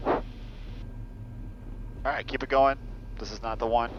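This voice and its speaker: A man's voice: medium pitch, fairly even intonation, measured pace. A man chatting casually as he guides a pilot companion in a light aircraft. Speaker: pilot companion guiding the flight. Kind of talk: casual cockpit chat. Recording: muffled, noisy aircraft cockpit.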